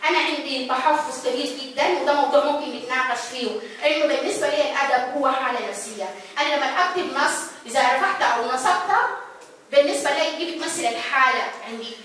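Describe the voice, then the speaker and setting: Quick, bright Egyptian woman moderating a panel. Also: high voice, slight background noise. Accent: Egyptian Arabic. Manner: quick, insistent, explains her view at length. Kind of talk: panel discussion. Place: art gallery event room, seated at a table. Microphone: handheld mic, heard from a distant camera. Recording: echoey gallery from far off.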